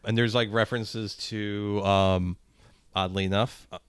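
Low, clear-voiced man chatting casually. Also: fairly even intonation, a little slow, a bit bright, no echo. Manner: profane, slangy. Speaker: podcast co-host. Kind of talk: casual chat about music.

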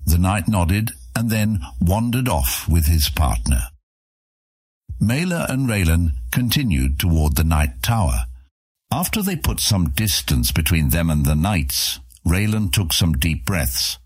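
Very deep, husky male narrator. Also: very animated, measured pace, darkish tone, no room sound. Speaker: audiobook narrator. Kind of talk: scripted fantasy narration.

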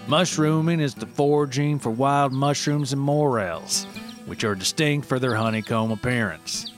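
A man reading scripted mock-documentary narration as a storyteller, measured and deadpan. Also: medium pitch. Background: music with plucked guitar.

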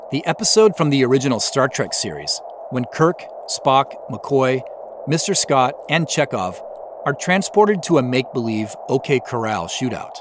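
A man's voice: medium pitch, noisy background. A man who creates audio drama, giving a reflective, explanatory director's commentary.

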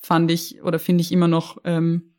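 A woman's voice: on the low side, monotone, moderate pace, warm tone. A young woman from Austria, a lawyer talking candidly as an interview guest, often restarting and repeating words. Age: young adult. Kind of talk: casual interview conversation.

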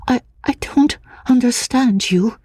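A woman voicing a timid, childlike, troubled young woman in an audiobook of a classic novel, halting and repeating her words in a breathy voice. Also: fairly high pitch, darkish tone, no echo, clean studio sound, voice pushed up. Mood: bewildered. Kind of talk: dramatic audiobook reading of classic literature.

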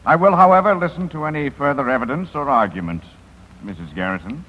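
Male actor as formal judge, deliberate delivery, lively inflection, dull vintage radio sound with faint noise. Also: on the high side, lightly acted. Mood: solemn. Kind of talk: 1950s American radio drama acting. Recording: dull, noisy vintage 1950s radio broadcast.

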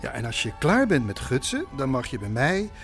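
An actor playing a gentle, eccentric, earnest craft enthusiast, patiently instructing in an audio drama. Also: male voice, mid-range voice, huge pitch swings, fairly quick, soft background music. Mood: encouraging. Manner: patient, instructive, childlike wording. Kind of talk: naturalistic Dutch audio drama acting.

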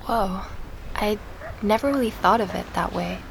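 An actress playing a curious, thoughtful young woman investigator in an audio drama, with a creaky voice and an understated manner. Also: mid-range voice, moderate pace, noisy background, lightly acted. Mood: curious, intent. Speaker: lead actor and narrator of an audio drama. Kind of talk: audio drama dialogue and first-person narration.

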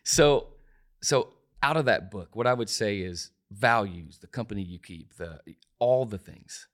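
This is a man of forty with a fairly low voice. He talks in an emphatic, conversational way and repeats himself for weight. He often stumbles into restarts before landing his point. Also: lively intonation, measured pace. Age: 40s. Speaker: podcast guest. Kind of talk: casual conversational interview.

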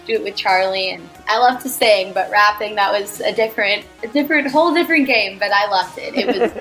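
A raspy-voiced young woman in her late teens, a young actress answering interview questions with gushing enthusiasm. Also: mid-range voice, fairly quick, fairly bright tone, faint music behind, rough recording. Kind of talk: casual interview.

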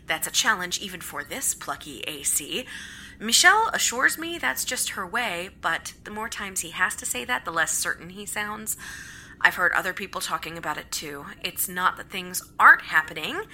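A woman playing an eager, plucky, mischievous woman in an audio drama, chatty and a little fretful, with an animated, stop-start delivery. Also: lowish voice, measured pace, heavily acted. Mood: fretful, earnest.

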